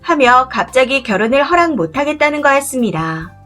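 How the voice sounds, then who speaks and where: Woman reading a story over soft music. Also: high-pitched, big pitch swings, a little fast. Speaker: story narrator. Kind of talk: scripted reading of a first-person story. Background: faint background music.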